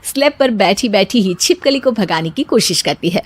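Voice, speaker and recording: Lively woman cartoon narrator. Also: mid-range voice, moderate pace, slightly rough, no echo. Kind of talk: scripted storytelling narration.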